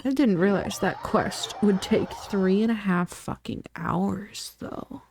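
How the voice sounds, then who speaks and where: A woman's voice: mid-range voice, takes it slowly, vocal fry, darkish tone, slightly rough. A woman livestreamer chatting casually and reacting while gaming, playful, blunt and sweary. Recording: livestream with faint background noise.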